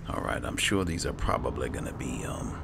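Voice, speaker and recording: A man giving casual, low-key roleplay gaming commentary, muttering as if to himself. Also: lively intonation, fairly quick. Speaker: gameplay host. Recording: clearly noisy with game ambience. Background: video game ambience.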